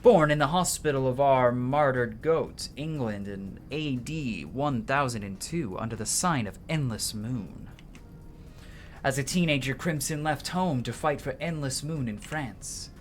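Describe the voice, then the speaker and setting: A man's voice: medium pitch, expressive, unhurried, slight background noise. A man doing casual let's-play commentary, informal and sweary, reading game dialogue aloud as he plays. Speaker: game streamer.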